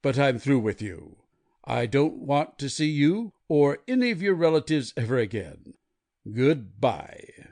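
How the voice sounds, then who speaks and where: A man's voice: medium pitch, very animated, measured pace, darkish tone, no room sound, studio quality, voice pushed up. A man voicing a stern, fed-up veteran family physician, severe and emphatic, in a storybook reading. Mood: angry.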